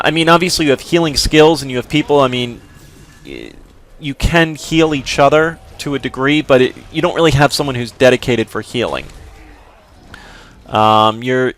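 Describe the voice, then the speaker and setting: Male let's-play commentator, casual and explanatory, smooth resonant voice, mid pitch. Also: fairly bright tone. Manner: casual, explanatory, frequent restarts. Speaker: gameplay commentator.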